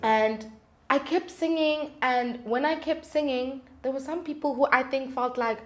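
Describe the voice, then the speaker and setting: A South African woman talking casually as an interview guest, telling stories in an animated way with rhetorical questions and acted-out reactions. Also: sits high, a little slow. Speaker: guest, singer-songwriter.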